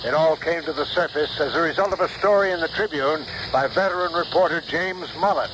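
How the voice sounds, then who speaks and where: An actor playing a sensational radio newscaster, delivering a formal, emphatic bulletin in a 1970s radio drama. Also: male voice, fairly high pitch, fairly quick, natural voice. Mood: urgent, dramatic. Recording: noisy old radio broadcast.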